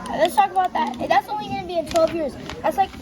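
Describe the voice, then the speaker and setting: A woman's voice: very high-pitched, steady tone, fast. A ten-year-old girl giving a class presentation, hesitant and restarting her sentences. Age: child, about ten. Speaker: student presenter. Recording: loud crowd and noise behind.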